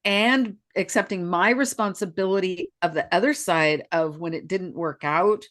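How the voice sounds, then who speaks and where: A woman in her sixties co-hosting a podcast, speaking slowly and thoughtfully, often chiming in with short agreement. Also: lowish voice, a bit bright. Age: 60s. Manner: slow and reflective, lots of agreeing interjections. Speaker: podcast co-host, spiritual healer. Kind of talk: casual chat.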